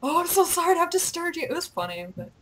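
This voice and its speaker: A woman's voice: very high voice, very animated, fast, a bit bright. A giggly, playful young woman chatting casually with a friend as she plays a video game. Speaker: gamer, video host. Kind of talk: casual gaming chat with a friend. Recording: clear background noise with clicks.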